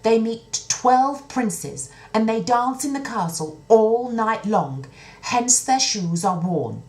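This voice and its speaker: An earnest storybook soldier, played by a woman, confident and respectful. The words come emphatically, raised in pitch and drawn out. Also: animated, slow pace. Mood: earnest explaining.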